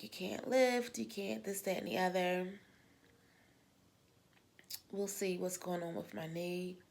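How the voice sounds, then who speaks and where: A middle-aged woman with a husky voice, coming down with a cold, talking casually and rambling in her vlog. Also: mid-range voice. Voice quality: husky smoker's-like voice. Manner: rambling, repeats words, sighs often. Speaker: vlogger. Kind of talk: casual personal vlog talk.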